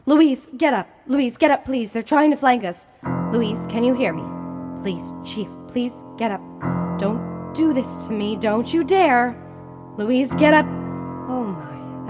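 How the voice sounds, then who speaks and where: A young woman playing a cool, self-assured private detective with a brisk, wry manner in a radio drama. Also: fairly high pitch, animated, over music. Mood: desperate. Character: tough, cool, sardonic female private detective.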